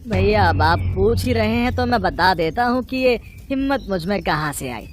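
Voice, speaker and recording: A woman playing an earnest, protective young student son in an animated Hindi moral story. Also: mid-range voice, normal speed, a little hiss or hum, close to an everyday voice. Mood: sincere. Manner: earnest, emphatic.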